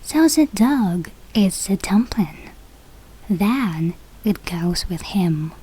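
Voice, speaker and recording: Very slow, breathy non-native woman reading aloud. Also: mid-range voice, expressive, mellow. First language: not English. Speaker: storybook reader for English learners. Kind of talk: slow read-aloud of a children's fairy tale.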